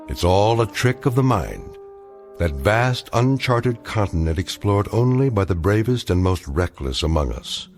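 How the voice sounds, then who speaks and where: An actor playing a grave, philosophical narrator of uncanny tales, giving scripted dramatic narration in a measured, portentous manner. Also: male voice, low voice, a little mellow, full character voice. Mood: wry, ominous.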